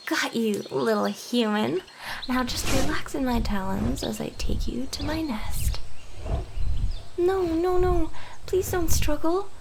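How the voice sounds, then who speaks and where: A woman voicing a hyper, smitten young female harpy in an anime ASMR roleplay, chirpy and coaxing, with soft whispered turns. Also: high-pitched, animated, slow pace, gravelly, noisy background, heavily acted. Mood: urgent, pleading.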